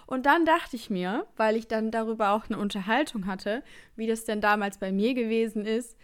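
A young woman co-hosting a podcast, talking casually and lively, with frequent laughs. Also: fairly high pitch, clear, resonant tone. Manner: lively, laughs often, drawn-out emphasis. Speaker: podcast co-host. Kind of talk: casual chat.

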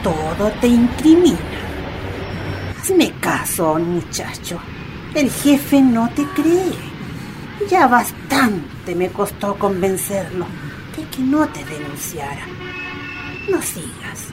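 A woman's voice: medium pitch, sing-song, slow, background noise. A woman playing a falsely soothing, easily indignant older woman in a radio drama, speaking in a placating way. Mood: insistent.

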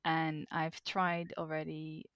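A woman's voice: sits low, completely flat delivery, slow, fairly bright tone, clean tone, dry recording, lo-fi sound. A woman whose first language is Dutch, co-hosting a podcast in a chatty, laughing way. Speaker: podcast co-host. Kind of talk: casual podcast chat.

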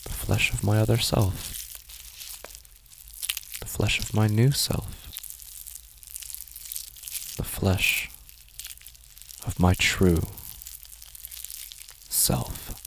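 A man playing an unhinged narrator in a first-person horror audio drama, speaking in a halting, intense, dramatic way and repeating phrases. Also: fairly low pitch, fairly even intonation, vocal fry, a little hiss or hum, full character voice. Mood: hushed, eerie certainty. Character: unhinged man narrating his horrifying loss of sight.

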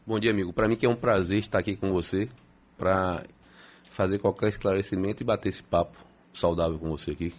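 A man's voice: low voice, steady tone, fairly quick. A man, answering interview questions plainly and steadily as a city council president. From Salvador, Brazil. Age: 50s.

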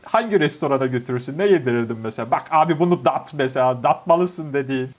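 A man's voice: medium pitch, quick pace. A young man chats casually and playfully as a podcast co-host. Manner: playful, jokey. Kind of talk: casual chat.

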